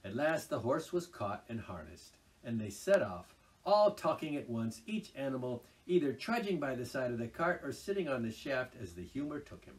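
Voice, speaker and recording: A man reading a classic children's tale aloud, his voice rising and falling with lively expression. He sounds like a seasoned storyteller. The voice sits a little back from the microphone. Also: medium pitch, normal speed, hardly any pauses, recorded from a distance, low-quality recording. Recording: voice a little off-mic.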